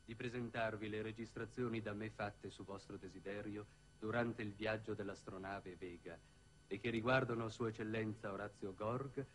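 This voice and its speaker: A man's voice: lowish voice, close to an everyday voice. An actor in his thirties playing a formal, deferential ship's doctor in a 1960s television drama, with courteous, precise diction. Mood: formal, measured. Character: formal, deferential male doctor aboard a spaceship. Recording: noisy old 1960s television soundtrack.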